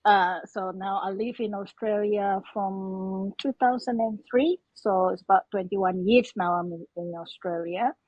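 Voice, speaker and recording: Husky Indonesian-accented woman, slightly muffled. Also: medium pitch, fairly even intonation, no echo. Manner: halting, non-native English phrasing. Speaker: podcast guest. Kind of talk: personal story told in conversation.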